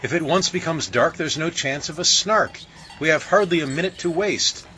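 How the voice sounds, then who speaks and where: A man's voice: on the high side, sharp tone, voice pushed up. A man voicing an impatient, bossy bellman in a storybook poem, snapping his lines curtly. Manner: curt, snapping, hurried. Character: brisk impatient male bellman, bossy captain.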